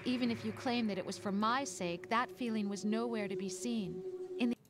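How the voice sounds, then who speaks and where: A woman's voice: a little high, normal speed, lightly acted. An actress playing a hurt, reproachful young girlfriend in a video game cutscene, emotional and accusing. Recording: music under the voice. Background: game music.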